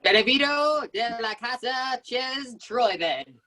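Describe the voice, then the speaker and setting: A woman reading the part of a playful, excitable young man hosting a housewarming at an amateur table read. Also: fairly high pitch, slow pace, heavily acted.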